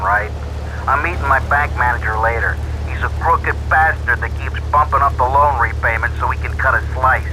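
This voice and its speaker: An actor playing a crooked, embittered factory boss in a video game, brash and grumbling. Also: male voice, medium pitch, background noise, close to an everyday voice. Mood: scheming. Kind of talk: video game cutscene acting.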